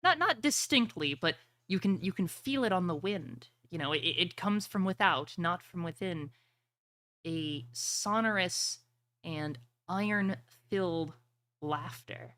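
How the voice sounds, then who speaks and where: A woman running a fantasy tabletop game as game master, narrating in a dramatic, deliberate storytelling manner. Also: lowish voice, expressive, a bit bright, no room sound.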